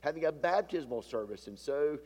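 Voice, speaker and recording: A male pastor preaching a sermon with a stuffy, sinus-deepened voice. He often swells louder and slows down to stress a point. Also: lively intonation. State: stuffy from a sinus issue, voice deeper than usual. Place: church sanctuary. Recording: long hall reverb from a church sanctuary.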